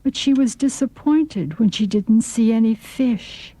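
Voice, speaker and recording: Dark, mellow woman storyteller. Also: a little high, measured pace, dry recording. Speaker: narrator. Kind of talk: scripted children's story narration.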